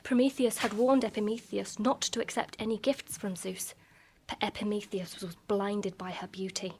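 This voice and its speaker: Woman acting a mysterious young beauty telling myths, medium pitch, measured, keeps you in suspense. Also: fairly even intonation, a little mellow, a little hiss or hum, close to an everyday voice. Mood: solemn storytelling. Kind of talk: dramatic storytelling in radio drama. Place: live on a festival stage.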